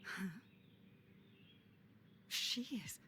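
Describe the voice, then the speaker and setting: Troubled noblewoman for a fantasy game: bright, hesitant, drawn-out delivery. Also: a little hiss or hum. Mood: startled, troubled. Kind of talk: dramatic game voice acting.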